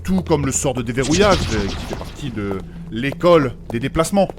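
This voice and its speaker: A man's voice: medium pitch, big pitch swings, a little fast, natural voice. A man playing a learned, courteous mage in a fantasy audio comedy, speaking formally and precisely as he explains things in an earnest, pedantic way. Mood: earnest, scholarly. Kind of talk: French humorous fantasy audio fiction acting. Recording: music behind the voice.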